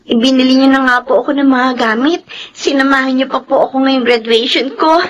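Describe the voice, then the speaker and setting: Young orphaned maid voiced by a woman, very high voice, humble, deferential, radio soap. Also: steady tone, brisk, a little hiss or hum, close to an everyday voice. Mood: grateful, meek. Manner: timid, deferential. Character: meek, grateful young orphaned housemaid, a new graduate. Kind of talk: radio drama acting.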